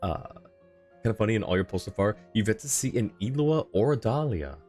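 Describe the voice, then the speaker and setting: A man's voice: fairly low pitch, expressive, fairly quick, darkish tone. A man doing casual live-stream game commentary, rambling and thinking aloud as he chats with viewers. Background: faint game music.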